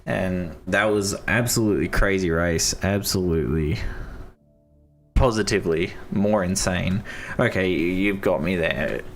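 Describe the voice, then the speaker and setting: Hoarse young Australian race commentator. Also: male voice, low voice, a little slow, soft background music. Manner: enthusiastic, repeats praise, casual slang. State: hoarse, losing his voice.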